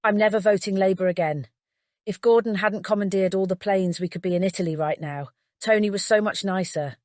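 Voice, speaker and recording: A woman plays a peeved fiancée in an audiobook, nagging and complaining. Her voice sits at a medium pitch and is recorded close and dry. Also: brisk, darkish tone, no room sound, natural voice. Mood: irritated, complaining. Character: irritated young British fiancée, nagging and complaining.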